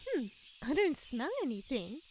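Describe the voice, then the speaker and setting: Very high, teasing fairy friend. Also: female voice, big pitch swings, moderate pace, a little mellow, slight background noise, poor audio. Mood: uncertain. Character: teasing, cautious fairy friend Ruby, young woman. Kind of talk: fantasy comedy audio drama. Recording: faint outdoor cricket ambience behind voice.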